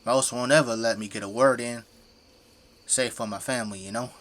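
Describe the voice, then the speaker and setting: A man's voice: mid-range voice, steady tone, moderate pace, fairly bright tone, close to an everyday voice. An actor playing a folksy, teasing middle-aged Midwestern family man who works as a zombie-fighting janitor in an audio drama. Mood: jovial, frank.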